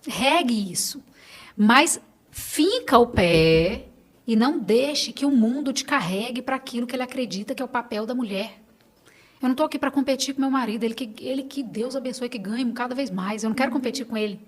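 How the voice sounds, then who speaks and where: A woman with a Brazilian accent and a fairly high voice talks as a podcast guest. She is earnest and emphatic, urging the listener on in a conversational, personal way. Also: fairly quick. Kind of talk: conversational interview, personal testimony.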